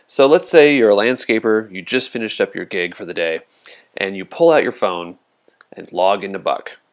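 Low, creaky-voiced man giving a demo. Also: moderate pace. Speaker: product demonstrator. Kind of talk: casual screen-recorded software walkthrough. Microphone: voice-over recorded over a screen demo.

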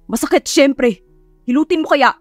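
A woman's voice: high-pitched, moderate pace, no room sound, voice pushed up, lightly acted. A woman voices a grumbling, dramatic Filipino boss man in an audio romance. He teases and complains in a dry recording over faint music. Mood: pained, annoyed. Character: grumpy, teasing adult male boss, complaining dramatically.

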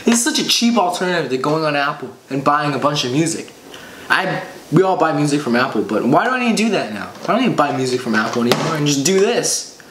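A man's voice: fairly high pitch, big pitch swings, quick pace. Teen guy vlogging at a table, rambly and keen, echoey room, bit noisy behind him. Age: teenager. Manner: rambling, repeats himself, enthusiastic. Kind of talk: casual chat to viewers. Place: small room indoors at a table. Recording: roomy echo, clear background noise.

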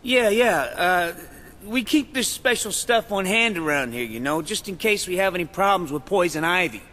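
A man's voice: sits high, animated, moderate pace, bright, forceful tone. An actor playing a nervous, flustered male asylum guard in a video game cutscene. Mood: shaken. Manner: jittery, flustered. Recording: long reverb of stone corridor.

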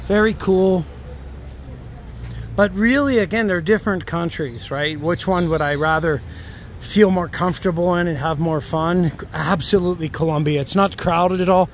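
A man walking and filming as he goes, musing aloud in a rambling way with frequent "right?" tag questions. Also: a little high. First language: English. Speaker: travel vlogger. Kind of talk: casual walking commentary. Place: outdoors on city streets. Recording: outdoor street noise. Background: traffic and street noise.

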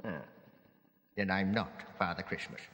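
A man's voice: sits low, unhurried, fairly bright tone. A man playing a coaxing, boastful, sinister uncle figure in an audio drama, savouring his words theatrically. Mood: coaxing, gleeful. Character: sinister grandfatherly storyteller, self-styled uncle, coaxing and boastful. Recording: long echoing reverb, slight hiss.

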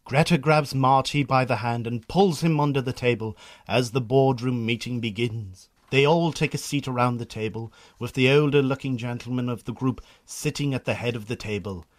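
A man's voice: mid-range voice, normal speed, hardly any pauses. A man with a Northern Irish accent reading scripted narration as the narrator of a story.

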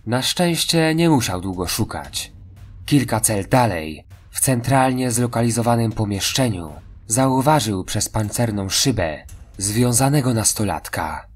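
A man's voice: medium pitch, expressive, a little slow. A man reading scripted narration for a horror audio drama.

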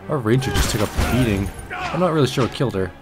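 A man's voice: medium pitch, animated, fast talker. A man giving casual let's play commentary on a video game as he plays. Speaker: gaming video host. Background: game music and effects.

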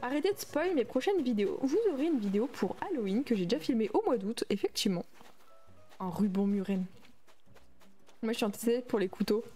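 A woman's voice: on the high side, expressive, a little fast, mellow, breathy edge, soft background music. A young adult woman streaming a game, chatting casually and reading and answering her viewers' messages aloud. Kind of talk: casual livestream chat while playing a game.

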